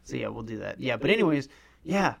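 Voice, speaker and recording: A man's voice: medium pitch, very animated, fairly quick, vocal fry, a crowd in the background. A young man in his early twenties co-hosting a podcast, telling rambling stories in casual chat and swearing freely. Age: young adult. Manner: laid-back, swears a lot, rambling storyteller. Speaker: podcast co-host.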